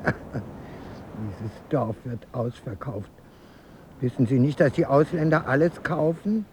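A brisk caretaker, a lighthouse keeper's wife in a radio play. She speaks in a plain, matter-of-fact way, with faint ambience behind her. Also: very deep voice, lively intonation, background noise, exaggerated voice. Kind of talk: radio drama dialogue.

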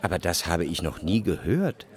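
Radio drama narrator: observant society guest telling the story in a lowish voice. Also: male voice, sing-song, normal speed. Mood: observant, composed. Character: observant first-person narrator, a salon guest. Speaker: first-person narrator.

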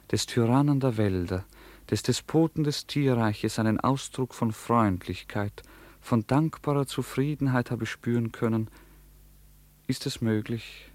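A man narrating a radio play in a measured, solemn, formal literary manner. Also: mid-range voice, darkish tone.

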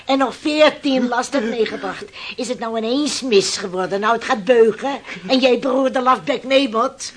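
High-pitched, desperate fisherman's mother acted by a woman. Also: moderate pace, hardly any pauses, natural voice. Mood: scolding, angry. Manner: scolding and exclamatory, turning to pleading. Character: stern, desperate fisherman's mother, bullying then coaxing. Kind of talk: Dutch radio play acting.

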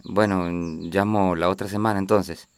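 An actor playing an unhurried man in a radio drama, low-key and hesitant. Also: fairly even intonation, a little hiss or hum, lightly acted. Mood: subdued. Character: unhurried man making a phone inquiry.

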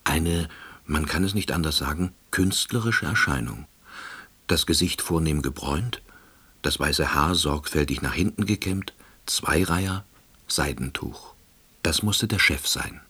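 A middle-aged, pedantic first-person narrator in a scripted radio drama. His voice is deep and husky, delivered with dry, deadpan officiousness. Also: a little slow, exaggerated voice. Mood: wry, pensive. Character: wry, pedantic, laid-off East Berlin man narrating.

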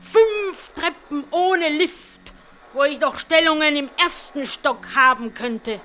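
A woman's voice: high voice, slow pace, bright, forceful tone, a little hiss or hum, full character voice. An actress playing a grumbling, good-hearted cleaning woman who gossips in a folksy, self-satisfied way in a radio drama. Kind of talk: German radio drama monologue.

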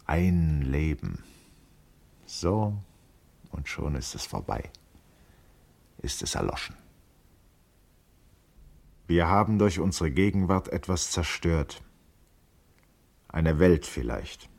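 An actor playing a grave, contemplative man in a literary radio play, speaking in a solemn, deliberate, poetic way. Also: a bass voice, sing-song, vocal fry, darkish tone, exaggerated voice. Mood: reflective. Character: grave, contemplative man musing on life and cosmos.

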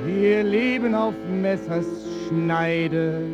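An actress in a German radio play, playing a merciless, scornful prophetic heckler with a theatrical, drawn-out incantatory delivery. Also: female voice, lowish voice, muffled recording, over loud music. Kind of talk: German radio play declamation over music.

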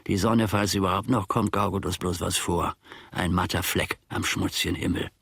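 An older male actor playing a grumbling, self-important old servant in a German radio play, musing deliberately to himself with a gravelly, husky voice, often sinking to a murmur. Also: low voice, fairly even intonation, fairly bright tone, no echo, clean studio sound, heavily acted. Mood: wry musing.

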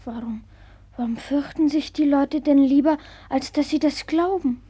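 A woman playing a frail, earnest young boy sick in bed in an old German radio play, speaking softly and simply. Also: sits high, normal speed, lightly acted. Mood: timid, wondering. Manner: soft, artless, questioning.

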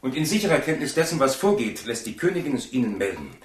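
Wordy, husky royal manservant. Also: male voice, mid-range voice, very animated, quick pace. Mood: deferential, confiding. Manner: wordy, deferential, storytelling. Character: loyal, talkative manservant of a young king, nobleman. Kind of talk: German radio play acting.

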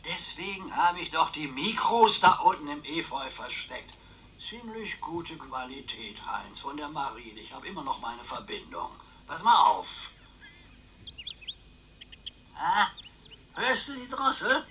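Chatty, theatrical old schemer for radio crime play; highish, sharp, forceful voice, some background noise. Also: male voice, unhurried, exaggerated voice. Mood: conspiratorial, gleeful. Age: older. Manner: excitable, talkative, theatrical, teasing. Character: wily, boastful, chatty old uncle, retired wartime planner. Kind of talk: German radio crime drama acting.

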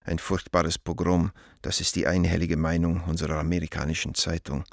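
An actor playing a worried, loyal gentleman who reads his letter to an old friend in a measured, formal way for a radio drama. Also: low-pitched, level tone, vocal fry, dry recording, clean studio sound. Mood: worried, restrained.

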